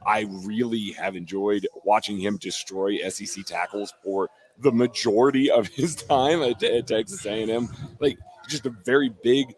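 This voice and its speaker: Low-voiced man, voice going hoarse. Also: normal speed, few pauses. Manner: asks leading questions, breaks down players. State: losing his voice. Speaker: football analyst. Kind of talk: casual live draft chat.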